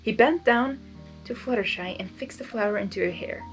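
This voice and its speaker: A woman reading fan fiction aloud expressively, breaking into chatty, giggly, excitable asides. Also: medium pitch, a little fast, soft background music, poor audio. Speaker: story reader.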